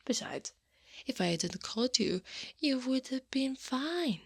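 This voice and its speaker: An Italian woman playing a tall, teasing Krampus monster woman in a soft, breathy ASMR roleplay, often dropping into a whisper. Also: high voice, animated, slow pace, no echo, full character voice. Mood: coaxing, gently menacing. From Italy. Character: eight-foot horned furry Krampus woman, teasing, coaxing, in control.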